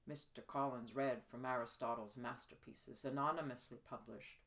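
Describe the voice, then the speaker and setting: A woman reading her own period fiction as expressive, measured audiobook narration. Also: extremely low pitch, gravelly. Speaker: author reading own novel.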